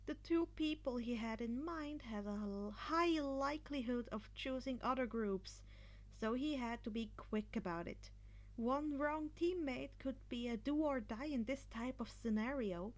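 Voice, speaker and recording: Female amateur story narrator, high register, unhurried reading of fan fiction.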